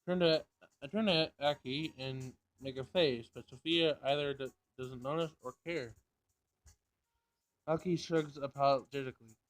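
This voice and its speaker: A man's voice: mid-range voice, measured pace. A man reading visual novel narration aloud, sometimes stumbling over the text and rereading it.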